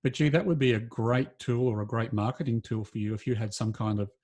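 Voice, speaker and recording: A man's voice: medium pitch, a little fast, a little mellow. A man co-hosting a casual interview podcast, agreeable, offering observations and follow-up questions. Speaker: podcast co-host.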